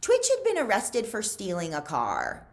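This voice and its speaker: A woman reading a children's novel aloud as a librarian would, with measured, dramatic emphasis. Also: medium pitch, huge pitch swings. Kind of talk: expressive storybook read-aloud.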